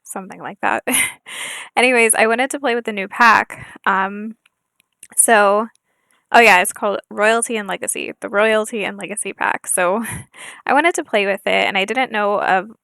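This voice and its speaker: A soft-spoken young woman hosting a casual gameplay video, talking hesitantly with many fillers. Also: mid-range voice, normal speed, creaky, dry recording. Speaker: gaming channel host. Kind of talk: casual gameplay chat with read-aloud challenge text.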